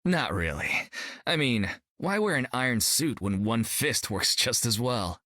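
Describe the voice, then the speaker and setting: A man's voice: mid-range voice, sing-song, unhurried, bright, forceful tone, dry recording, clean studio sound. A young actor playing a brash, cocky male martial artist in a video game, joking and upbeat. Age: young adult.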